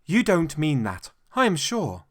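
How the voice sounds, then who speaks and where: A man voicing a cheerful, earnest, warm-hearted young gentleman in a storybook reading. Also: a little high, wildly swooping pitch, unhurried, no echo, studio quality, pitched above the performer's own voice, full character voice. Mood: gently protesting. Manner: bright, eager, heartfelt.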